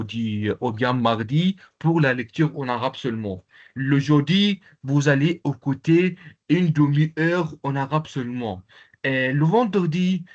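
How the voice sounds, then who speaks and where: A man from the Comoros whose first language is Arabic, teaching an online class in a halting way, with many fillers and repeated words. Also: medium pitch. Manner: repetitive, halting, full of fillers. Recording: dull lo-fi online call audio.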